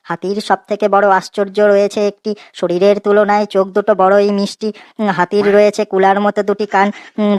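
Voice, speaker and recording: Lady doing a cheeky schoolboy in a cartoon, eager but halting, pretty flat tone. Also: medium pitch, smooth, no echo, lightly acted. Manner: hesitant, eager to answer. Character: cheeky schoolboy reciting silly verse and answers in class. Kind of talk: comedic cartoon dialogue, singsong recitation.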